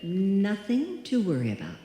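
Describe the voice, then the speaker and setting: A woman playing a headstrong, self-possessed small-town maiden lady in a live old-time radio drama, deliberate and dismissive in manner. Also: medium pitch, huge pitch swings, dark tone. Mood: stubborn, dismissive. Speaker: amateur radio play actor. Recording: echoey hall, live stage performance.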